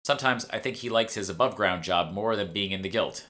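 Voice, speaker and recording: A man's voice: on the low side, quick pace, bright, forceful tone. A man voicing a bossy, curt, sardonic female guild fixer in an audiobook. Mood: brisk. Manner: curt, impatient, know-it-all.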